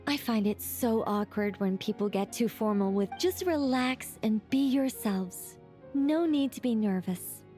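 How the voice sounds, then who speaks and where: A high, girlish-pitched angel of great age, chatty and self-assured. She speaks in a playful, knowing game-character style over faint music. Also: lively intonation, a little slow, warm tone. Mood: reassuring, knowing. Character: ageless, playful, self-assured female angel with easy airs. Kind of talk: video game voice acting.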